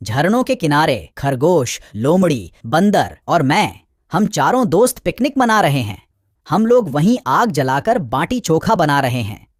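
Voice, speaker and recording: A woman playing a frank, helpful forest deer in a children's animated story, emphatic and full of questions. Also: sits low, a little slow, dry recording, studio quality, full character voice. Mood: explaining.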